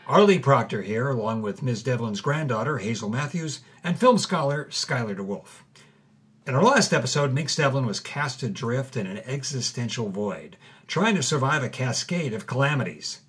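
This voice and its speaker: A man with a husky, mid-pitched voice hosts a scripted podcast. He narrates with dramatic emphasis, leaning into the old documents he reads aloud. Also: expressive, normal speed, fairly bright tone. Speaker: host.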